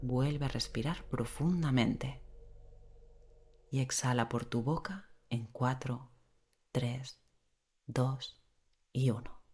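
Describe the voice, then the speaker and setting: A woman leading a guided sleep meditation in a gentle, unhurried way with long pauses, giving slow, soothing instructions. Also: a bass voice, husky. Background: relaxing rain sound and soft music.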